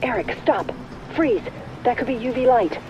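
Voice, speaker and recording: A woman playing a cool-headed vampire tech handler giving calm, instructive briefings in a video game. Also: fairly high pitch, normal speed, dull audio, slight background noise, natural voice. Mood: cautioning. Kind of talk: scripted game dialogue, mission briefing.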